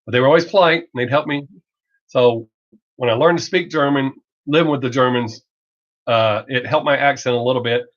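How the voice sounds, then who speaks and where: Middle-aged male streamer, American Southern drawl, blunt off-the-cuff chat with some swearing, mid-pitched voice, slightly muffled lo-fi audio. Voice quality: Southern drawl masked by fast talk. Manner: blunt, rambling, profane, joking. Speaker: reaction video creator hosting a live stream. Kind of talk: casual livestream chat, off the cuff. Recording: dull lo-fi livestream audio.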